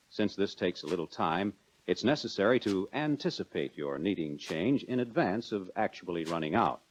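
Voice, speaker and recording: An American man narrating a 1940s instructional film in a measured, instructive manner, addressing the viewer directly. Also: deep voice, a little hiss or hum. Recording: dull lo-fi 1940s film soundtrack.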